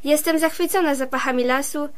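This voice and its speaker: A woman's voice: sits high, fairly quick, close to an everyday voice. A female performer playing an eager, polite young girl duckling in a scripted children's audio play.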